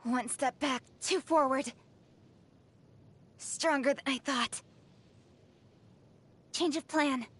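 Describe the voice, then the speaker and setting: A high, young female anime game fighter, cool and brisk. She speaks in short, clipped phrases with slow, deliberate delivery. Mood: cool, businesslike. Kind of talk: short video game battle voice lines.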